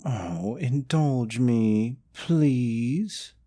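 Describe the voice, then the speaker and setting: A man's voice: low voice, unhurried, darkish tone. A young man acting in an audio roleplay as a scheming, possessive boyfriend, falsely sweet and coaxing, snide about his rival. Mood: scheming, pleading.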